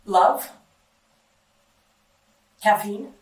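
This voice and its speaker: A woman's voice: medium pitch, very slow, a little mellow. Middle-aged woman, roomy distant sound. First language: Greek. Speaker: data center engineer being interviewed. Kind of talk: short interview answers. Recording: roomy, distant mic, background noise.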